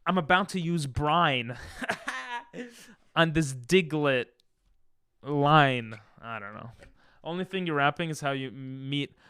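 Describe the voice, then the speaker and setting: A man's voice: a little high, takes it slowly, long pauses, bright, forceful tone, clear, resonant tone, no room sound, studio quality. A man whose first language is Hebrew, chatting casually on a game stream, reading chat aloud and breaking into silly freestyle rhymes. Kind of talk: casual live-stream chat while gaming.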